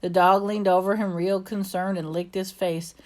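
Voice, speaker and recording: A woman's voice: mid-range voice, little pitch movement, normal speed, lightly acted. A woman voicing an earnest, plainspoken young girl with a Southern US accent, telling her story from a storybook. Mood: earnest, wondering. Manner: folksy, plainspoken. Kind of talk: first-person storybook narration.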